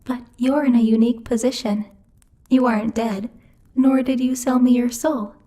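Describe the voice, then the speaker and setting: A woman's voice: a little high, fairly even intonation, normal speed, mellow, lightly acted. A woman playing a bossy, haughty demon lord who teases and mocks imperiously in a theatrical ASMR roleplay. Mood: businesslike, smug. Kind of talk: ASMR roleplay, scripted character acting.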